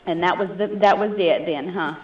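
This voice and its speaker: A woman's voice: fairly low pitch, normal speed. Distant, echoey woman phone agent. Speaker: customer service phone agent. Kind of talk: phone order-taking chat. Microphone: heard over a telephone call. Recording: dull, roomy, distant phone call with noise.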